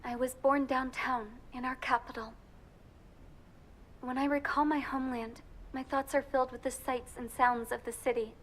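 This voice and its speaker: An earnest young royal woman with a high voice. She addresses her people in a poised, deliberate video game speech. A faint rumbling noise sits behind her. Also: flat delivery, a bit bright, low-quality recording. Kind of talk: scripted public speech in a game cutscene.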